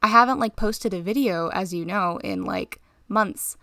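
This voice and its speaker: A tired young woman with a slightly raspy voice, rambling casually in a voice-over while she draws, interrupting herself and giggling. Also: mid-range voice, lively intonation, moderate pace, sharp tone, no room sound, studio quality. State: tired, short on sleep. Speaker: art channel host.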